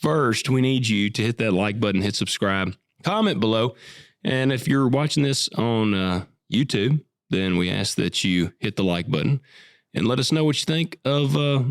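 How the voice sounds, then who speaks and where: A man co-hosts a loose, joking podcast chat, sounding sick and coughy, his voice sitting low. He talks bluntly and swears freely. The sound is close and dry with no room echo. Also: animated, normal speed. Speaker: podcast co-host, farmer. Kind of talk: casual chat.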